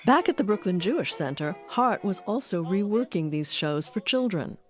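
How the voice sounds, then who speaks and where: Measured documentary narrator over music. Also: medium pitch, expressive. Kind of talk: scripted narration.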